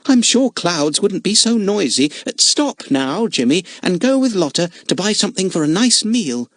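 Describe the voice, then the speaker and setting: Brief: man doing a gentle mother figure, lifted pitch, lively lilt. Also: normal speed, no echo. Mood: kindly. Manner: gentle and kindly. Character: warm motherly woman running a caravan home.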